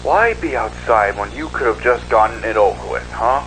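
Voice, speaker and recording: A man playing a cruel, unhinged, foul-mouthed villain in a dark amateur audio drama, sneering and drawing out his words. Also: medium pitch, expressive, moderate pace, a little hiss or hum, close to an everyday voice, low-quality recording. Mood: bitter, suspicious.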